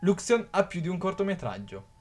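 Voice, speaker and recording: A man's voice: high-pitched, big pitch swings, brisk, a little mellow, faint music behind. A man in his twenties narrating a scripted explainer, reading from a script and occasionally stumbling over words. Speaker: YouTube narrator.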